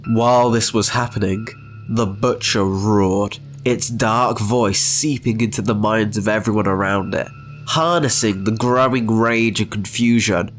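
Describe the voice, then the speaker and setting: A man reading scripted, dramatic story narration as the narrator. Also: mid-range voice.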